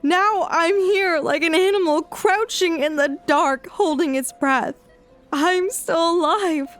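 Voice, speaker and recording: High-voiced anguished young woman played by an actress. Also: a bit bright, exaggerated voice. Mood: anguished, numb. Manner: brooding, slow inner monologue. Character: anguished young woman, traumatized survivor blaming herself. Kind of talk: audio drama monologue. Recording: music behind the voice.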